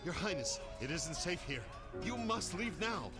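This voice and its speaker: An actor playing a solemn, protective older former guard captain in a video game, speaking earnestly and gravely. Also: male voice, sits high, big pitch swings, unhurried, heavily acted. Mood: urgent. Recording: music under the voice.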